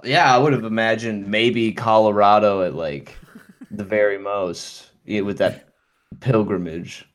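A man's voice: on the low side, a little slow. A man in casual chat, laid-back and teasing, laughing a lot.

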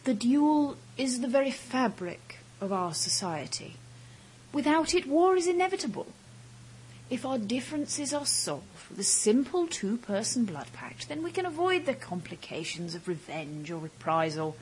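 A woman acting a formal, intense woman in a school confrontation in an audio drama, measured and breathy. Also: on the high side, sing-song, darkish tone, a little hiss or hum, poor audio. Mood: cold indignation.

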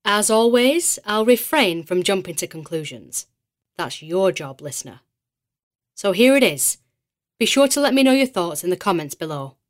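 A woman playing a poised podcast host of eerie mysteries in an audio drama, with a measured, articulate, theatrical delivery. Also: mid-range voice, lively intonation, close to an everyday voice. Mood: wry, inviting. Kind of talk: scripted podcast narration.

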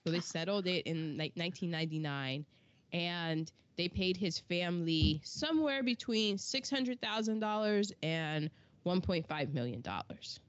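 A woman's voice: on the low side, expressive, unhurried, highs cut off. A woman co-hosting a podcast in casual chat, running through film credits with asides and false starts. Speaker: podcast co-host.

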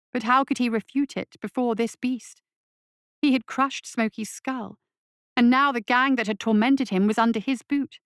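A woman narrating a dark fantasy story in a scripted, dramatic audiobook reading style. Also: a little high, no room sound, studio quality. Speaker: story reader.

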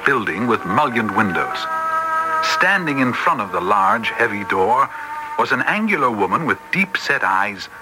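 Earnest, lowish-voiced doctor sidekick. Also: male voice, very animated, unhurried, loud music behind the voice, rough recording. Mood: grave, uneasy. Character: earnest, loyal male doctor sidekick narrating his adventure. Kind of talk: first-person radio drama narration.

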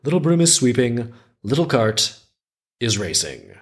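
A man's voice: medium pitch, big pitch swings, a little slow, pitched above the performer's own voice. A man reading the part of a frantic, defensive little household object in a folktale, in an emphatic, indignant recital.

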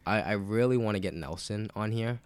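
Man, very low voice, nasal, sick with a cold, podcast host, rambling casual chat, swears. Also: a little fast, clear, resonant tone, no echo. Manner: loose, rambling and profane, with many fillers.

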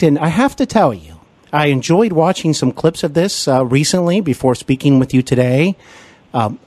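A man hosting an interview podcast, enthusiastic and complimentary, often pausing with fillers. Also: on the high side, a little mellow, no echo. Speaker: podcast host.

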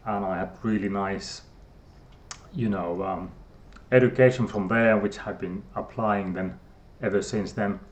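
A man's voice: on the low side, fairly even intonation, unhurried. A middle-aged man from Finland with a Finnish accent, a guest scientist who talks hesitantly with many fillers and restarts. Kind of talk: casual interview talk.

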